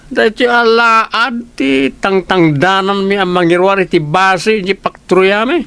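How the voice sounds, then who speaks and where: Boastful lovesick village drunk guy actor doing it, ilocano accent, radio comedy play, mid pitch. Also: measured pace, fairly bright tone, clear, resonant tone, dry recording. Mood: teasing.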